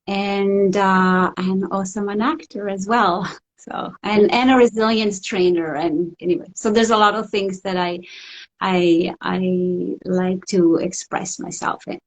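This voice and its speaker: Middle-aged woman, Swiss Italian accent, Italian native, halting reflective talk, mid-pitched. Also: steady tone, poor audio. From Italian-speaking Switzerland. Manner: reflective, halting, many restarts, soft laughs. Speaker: guest. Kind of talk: casual interview over a call.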